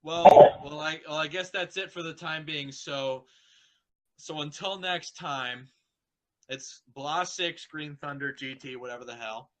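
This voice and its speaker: A man's voice: on the high side, unhurried, poor audio. A man hosting an online group call in casual chat, rambling and stammering, easily distracted.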